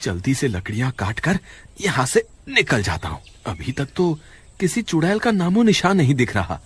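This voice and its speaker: A man's voice: mid-range voice, very animated, normal speed, slightly rough. A man playing a jumpy, fretful village woodcutter in a horror cartoon, given to exclaiming. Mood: wary. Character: frightened village woodcutter, a husband, jumpy but stubborn. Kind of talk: Hindi horror cartoon dialogue. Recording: music behind the voice.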